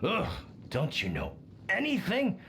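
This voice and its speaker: A man's voice: high-pitched, huge pitch swings, unhurried, fairly bright tone, a little hiss or hum, full character voice. A man playing a jolly, foolish, drunken hunter father in a video game, rambling and slurring. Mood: boastful, scoffing. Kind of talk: video game character acting. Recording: game music behind the voice. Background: game music.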